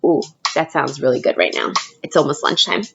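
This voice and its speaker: A woman teacher reading a picture book aloud expressively, pausing to prompt young listeners with questions. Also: sits low, creaky, highs cut off, over music. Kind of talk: storybook read-aloud with questions to children.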